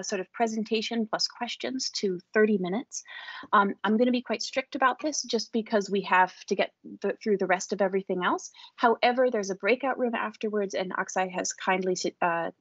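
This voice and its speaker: A woman moderating an online workshop, introducing the speaker in a brisk, firm way with some fillers. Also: mid-range voice, no room sound, highs cut off. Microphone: video call.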